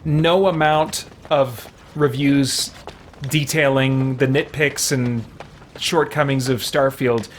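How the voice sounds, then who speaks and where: A man's voice: mid-range voice, fairly even intonation, slow, a little hiss or hum. A man chatting casually on a game livestream, thinking aloud as he plays. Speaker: game streamer, host. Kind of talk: casual livestream chat while playing a video game. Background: video game sounds and character voices.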